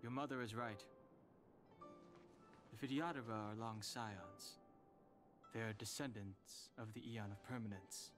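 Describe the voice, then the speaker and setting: A man playing a taciturn, solemn, knowing character in a video game, speaking in a grave, formal, measured way. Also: on the low side, steady tone, close to an everyday voice. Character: taciturn, solemn, knowing guardian of old lore. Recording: prominent background music under the voice.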